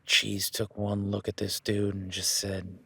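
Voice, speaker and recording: A man's voice: fairly low pitch, monotone, measured pace, fairly bright tone, husky, no echo, exaggerated voice. A man voicing an easygoing male cowboy ranch hand in an audio roleplay, talking in a laid-back, folksy way with casual swearing.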